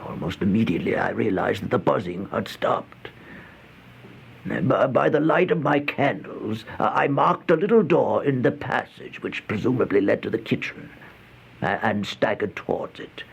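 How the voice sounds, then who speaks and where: An actor playing a starving, feeble, fearful vagrant in an old-time radio horror monologue, halting and stammering, with gasps and whispers. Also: male voice, fairly low pitch, expressive, gravelly, slightly rough, heavily acted. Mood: wary, faint. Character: starving, feeble, once-proud male vagrant turned housebreaker, haunted and fearful. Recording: noisy old radio drama recording.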